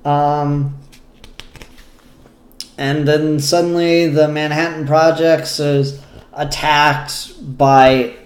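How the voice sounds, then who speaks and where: A man with a moderately high voice, recorded in a roomy, echoey space. He speaks off the cuff as a review host, pausing mid-thought and swearing casually as he goes. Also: flat delivery. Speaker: comic book reviewer and show host. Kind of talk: casual unscripted spoken review. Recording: roomy, echoey room sound.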